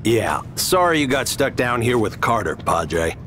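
Lively, easygoing soldier sidekick. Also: male voice, mid-range voice, measured pace, a little hiss or hum. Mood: casual. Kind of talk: video game cutscene acting.

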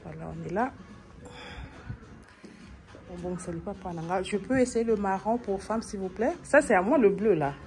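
A woman's voice: mid-range voice, a little slow, pauses often, darkish tone. Chatty woman vlogging in a shop. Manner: chatty, code-switching with Lingala phrases. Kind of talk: casual vlog chat. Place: shoe shop.

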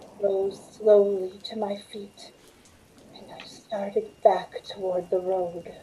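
A middle-aged woman playing a shaken waitress who recounts a terrifying ordeal in dramatic, halting radio-play narration. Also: mid-range voice, flat delivery, warm tone, slight background noise, lightly acted, low-quality recording. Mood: shaken, dazed. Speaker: lead actress in a radio play. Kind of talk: dramatic first-person radio acting. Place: room at home, on a video call.

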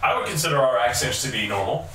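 A man's voice: medium pitch, lively intonation, fast, sharp tone, slight background noise. A young man joking and reading chat aloud on a casual home baking stream with his wife. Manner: joking, reads chat aloud, laughs often. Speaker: co-host, husband. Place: home kitchen. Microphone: far room mic. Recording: echoey kitchen from far off, music behind.

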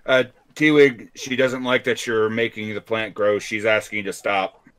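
A man playing a tabletop game, speaking in character and describing actions in measured, deliberate phrases. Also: on the low side, steady tone, a bit bright.

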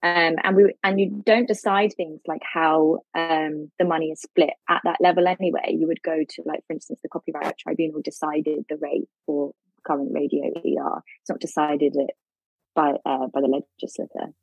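Husky woman on a lo-fi line. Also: sits low, little pitch movement, normal speed, dry recording. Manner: thinking aloud, many fillers and restarts. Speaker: legal expert guest. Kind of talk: podcast discussion.

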